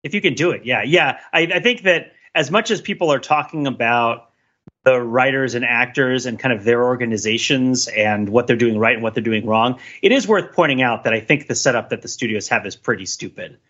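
A man with a bright, forward voice speaks as a podcast co-host in casual panel chat. He is animated and emphatic, rambling through his opinions with frequent asides. The recording is a little dull and lo-fi. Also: mid-range voice, fairly quick.

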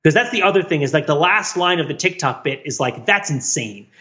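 A man with a fairly high, very bright voice co-hosts a casual discussion podcast. He rambles animatedly through his reasoning, questioning himself with a wry edge. The recording is slightly dull and muffled. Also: a little fast. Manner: rambling, self-questioning, wryly animated argument. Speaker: podcast co-host.

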